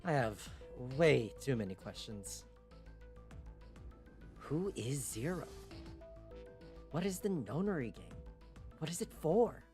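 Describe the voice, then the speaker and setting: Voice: medium pitch, lively intonation, slow, long pauses, darkish tone, faint music behind. A performer voicing a bewildered, hot-tempered young man trapped in a deadly visual novel game, questioning and agitated.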